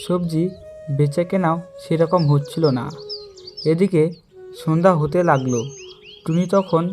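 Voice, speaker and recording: A man's voice: on the high side, a little slow, a little mellow, soft background music. A man reading scripted narration for a children's cartoon story.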